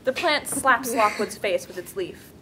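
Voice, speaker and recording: A woman's voice: a little high, animated, a little slow, sharp tone. A woman narrator reading scripted stage directions at a table read, with faint room noise.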